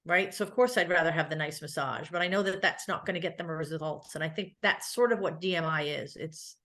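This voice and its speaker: A middle-aged woman answering questions as a conference speaker in a conversational, animated, anecdotal way. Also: sits low, brisk. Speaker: guest speaker, physical therapist.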